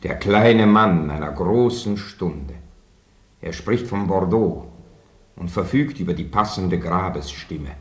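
Low-voiced, sardonic pessimist. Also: male voice, slow, roomy sound. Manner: sardonic, dry wit. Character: sardonic, gloomy, pessimistic lodger man. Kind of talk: German radio drama acting.

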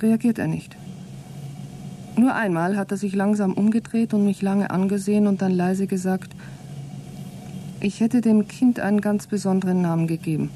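A woman's voice: medium pitch, dark tone, a little hiss or hum, natural voice. An actress playing an anxious but defiant woman trapped aboard with her partner in a radio play. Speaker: radio drama actor. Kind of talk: German radio play acting, spoken logbook monologue.

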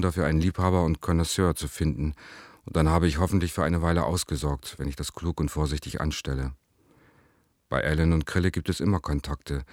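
Actor as very deep-voiced confiding lover. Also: male voice, darkish tone, no room sound, studio quality, lightly acted. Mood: secretly excited. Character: secretive, scheming young bohemian lover. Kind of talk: radio play letter reading.

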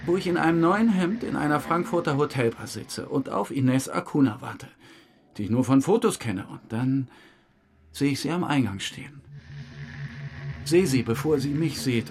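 A man's voice: on the high side, expressive, moderate pace. An actor playing a boastful, weary male suspect who rambles through a confession in a naturalistic radio drama. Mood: animated, urgent. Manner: rambling confessional monologue, acting out remembered exchanges.

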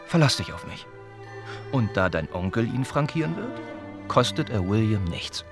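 An actor playing a kind young older cousin in a radio play, speaking gently and patiently to reassure a child. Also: male voice, on the low side, big pitch swings, moderate pace, music in the background. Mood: gentle, reassuring.

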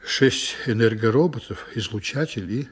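A man's voice: mid-range voice, normal speed, a little hiss or hum, close to an everyday voice. An actor playing a stern, weary male starship commander who gives curt, clipped orders in a radio drama. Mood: brusque, irritated.

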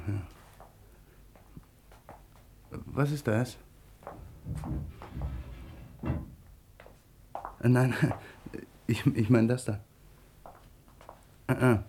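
Radio drama: grouchy husband, sarcastic and scornful, average male pitch, noisy scene. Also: unhurried, vocal fry, long pauses. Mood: hesitant, bashful. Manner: sardonic, blustering, disdainful. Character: grumpy, sarcastic Berlin husband who hates shopping. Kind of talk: German radio play acting.

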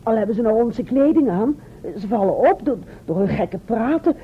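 Woman playing high naive maid, tinny recording. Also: animated, normal speed, slight background noise, close to an everyday voice. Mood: wistful. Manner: naive, artless. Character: naive, timid serving maid of centuries ago. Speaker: radio play actor. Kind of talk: old Dutch radio play acting. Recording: thin, phone-like old radio recording.

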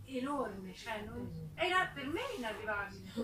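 A woman's voice: on the high side, sing-song, normal speed. A woman joining a casual live book discussion with emphatic, opinionated spontaneous talk. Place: echoing room at a public book event. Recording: echoey room, distant mic, audience chatter.

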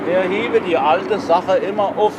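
A man's voice: high-pitched, normal speed, very noisy, natural voice. An actor playing a proud, talkative village father in a naturalistic radio play, with colloquial, rustic phrasing. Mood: proud, showing off.

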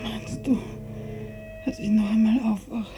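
An actress playing a gravely ill, bedridden woman in a 1950s radio drama, fearful and imploring, her weak, halting voice often sinking to a whisper. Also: a little high, fairly even intonation, loud music behind the voice, lightly acted. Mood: fading, frightened. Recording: muffled 1950s radio recording.

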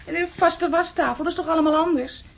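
An actress playing a placating but firm landlady in a radio play, coaxing and patronising, with a breathy voice. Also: very high-pitched, steady tone, quick pace. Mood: coaxing, reluctant. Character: placating, firm landlady humouring an unwell male lodger. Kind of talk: Dutch radio play acting.

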